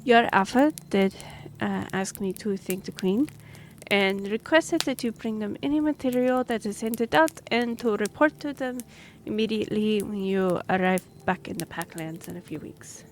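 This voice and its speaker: A woman's voice: medium pitch, normal speed, slight background noise, close to an everyday voice. A woman playing an earnest young half-elf noblewoman and royal envoy in a fantasy roleplay audio drama, speaking formally with some awkward hesitation. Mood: measured, explanatory. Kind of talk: fantasy roleplay audio acting, addressing the listener.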